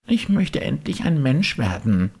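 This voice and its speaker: A man's voice: mid-range voice, sing-song, unhurried, creaky, a little mellow, slightly rough, pitched above the performer's own voice, full character voice. A man voicing an eager, naive little wooden puppet boy, exclaiming and pleading in an audiobook. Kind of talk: audiobook character voice.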